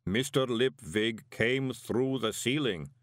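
A man voicing a calm male golem who speaks slowly and plainly. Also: medium pitch, dry recording, clean studio sound, natural voice. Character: slow, flat-spoken male golem.

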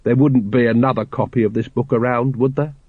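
A man's voice: medium pitch, steady tone, a little fast, no room sound, phone-quality sound, natural voice. A man voicing a tired, hard-drinking middle-aged male watch captain with a dry, weary manner.

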